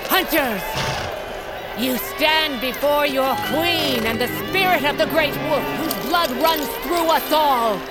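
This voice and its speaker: Proud wolf queen barking orders at her hunters, slow and high-pitched, loud music and crowd behind. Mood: commanding. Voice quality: commanding, proclaiming. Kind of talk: audio drama acting.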